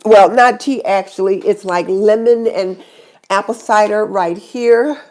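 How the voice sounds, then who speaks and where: A woman's voice: mid-range voice, measured pace, a little mellow. A middle-aged woman hosting her own live stream, chatting casually and greeting viewers by name, with a stuffy nose from a cold. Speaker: YouTube channel host.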